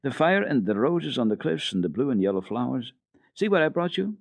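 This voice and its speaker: A man's voice: sing-song, fairly quick, gravelly, no echo. A man voicing an eager, cheerful little mountain girl in a raised, questioning voice, from an old radio recording. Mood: delighted. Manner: high, pleading, wide-eyed questioning.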